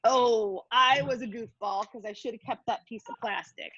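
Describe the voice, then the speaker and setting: High-voiced playful woman in dull audio. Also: normal speed, sharp tone, a little hiss or hum. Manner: playful, chatty, self-deprecating. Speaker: cooking instructor. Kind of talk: casual hands-on cooking demonstration.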